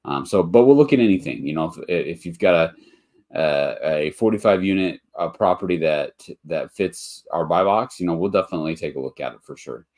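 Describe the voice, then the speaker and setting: A man's voice: low voice, expressive, brisk, a little mellow. A man hosting a live webinar in a casual, rambling way, a bit nasal and congested from allergies, with lots of ums and restarts. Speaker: webinar host, motel investor.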